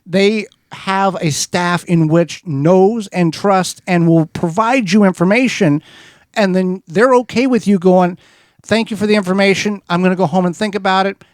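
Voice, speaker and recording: A man's voice: high-pitched, moderate pace, dry recording, clean studio sound. An American man co-hosting a radio show, giving casual advice in an emphatic, opinionated way. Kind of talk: casual gardening advice chat.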